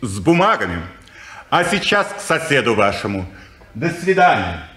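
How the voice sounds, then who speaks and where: An actor playing a cheerful, foppish police constable in an old radio play, teasing and mock-polite. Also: male voice, medium pitch, big pitch swings, normal speed, bright, forceful tone. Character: cheerful dandy police constable, young man, jaunty. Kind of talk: 1950s radio play acting. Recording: echoey old studio recording.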